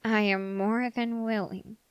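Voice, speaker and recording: A woman plays an ambitious young man with a dark heart in an intimate ASMR roleplay. The delivery is slow and formal, full of deliberate pauses. The sound is dry and close, with no room around it. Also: medium pitch, flat delivery, smooth, dry recording, highs cut off, natural voice. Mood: wary, submissive. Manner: deliberate, halting, formal phrasing with drawn-out pauses. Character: ambitious, dark-hearted young male human bargaining with a demon.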